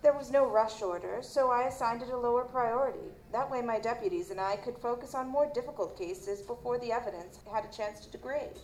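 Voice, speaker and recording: A woman playing a guarded, formal chief medical examiner in an audio drama, measured and dismissive. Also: on the high side, darkish tone, lightly acted. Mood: measured, evasive. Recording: roomy, noisy live stage recording.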